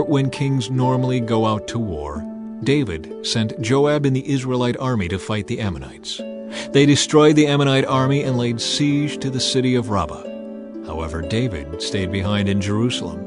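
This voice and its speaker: A man narrates an ancient sacred story in a measured, solemn storytelling style. His voice sits at a medium pitch for a man. Music plays faintly underneath the reading. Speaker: audiobook narrator. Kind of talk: dramatized scripted narration of scripture.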